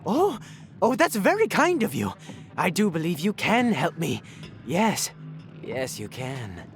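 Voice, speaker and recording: An actor playing a sadistic masked villain in an audio drama, mockingly polite and theatrical in a taunting, menacing way. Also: male voice, on the high side, wildly swooping pitch, measured pace, faint music behind, exaggerated voice. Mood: mock polite. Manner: theatrical, taunting, sing-song.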